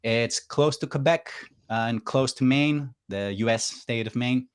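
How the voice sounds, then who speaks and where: Man from Greece, podcast guest, hesitant, lots of uh fillers, mid-pitched voice. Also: measured pace, clear, resonant tone. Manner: hesitant, with frequent "uh" fillers and soft laughs. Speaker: guest engineer. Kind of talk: casual interview chat.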